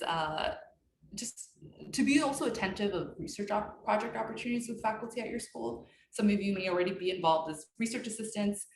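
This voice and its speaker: A woman giving career advice in a presentation over a video call, measured and full of ums. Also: mid-range voice, echoey room, slight background noise. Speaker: guest speaker.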